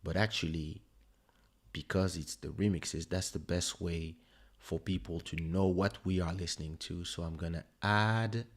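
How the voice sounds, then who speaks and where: A man with a French accent, a native French speaker, hosting a livestream listening party in relaxed, rambling casual chat with many fillers. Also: low voice, measured pace. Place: home streaming setup. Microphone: studio desk microphone.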